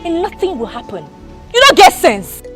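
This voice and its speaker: Actress as a bullying Nigerian madam, very high voice, lively, music under. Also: female voice, moderate pace, exaggerated voice. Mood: scornful. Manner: scornful, shouting, mixes Pidgin. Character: arrogant, bullying rich woman of a compound. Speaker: wealthy madam of the compound. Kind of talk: Nollywood drama acting.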